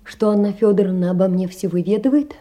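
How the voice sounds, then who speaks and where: An actress plays a sickly, poor young woman in a stage drama. Her voice is very dark and soft-edged, and she speaks in an earnest, plaintive way, blaming herself and aching with sorrow. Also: mid-range voice, fast, natural voice. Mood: hurt, indignant. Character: sickly, anguished, self-reproaching poor young woman writing letters. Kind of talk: theatrical monologue, letters read as drama.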